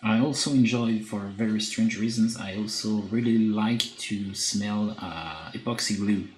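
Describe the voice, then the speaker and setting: A man with a French accent chatting on a live stream while he paints, reading chat and pausing often with fillers. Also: on the low side, a little mellow. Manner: hesitant, many filler pauses, reads chat aloud. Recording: echoey room with faint background noise.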